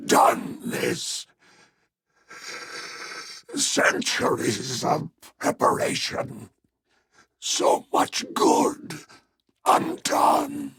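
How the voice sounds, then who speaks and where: Imperious video game tycoon, formal, condescending, calculating, sharp forceful tone, rough-edged voice. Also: male voice, mid-range voice, distant mic. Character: calculating, imperious male casino tycoon and would-be autocrat. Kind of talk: scripted video game dialogue.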